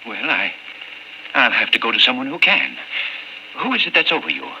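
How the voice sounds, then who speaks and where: Meek older office clerk, wronged and desperate, hesitant delivery, piercing tone, animated pitch, vintage radio drama. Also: male voice, normal speed, creaky, dull audio, exaggerated voice. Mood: agitated. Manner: hesitant, stammering, pleading. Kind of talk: old-time radio drama acting. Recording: hissy old radio recording.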